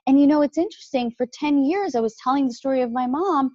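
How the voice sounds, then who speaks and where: A woman as a podcast guest, talking about her faith in a lively way and jumping up in pitch when she quotes others. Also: fast talker, dry recording, muffled recording. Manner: animated, jumps pitch when quoting others. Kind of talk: faith-based podcast conversation.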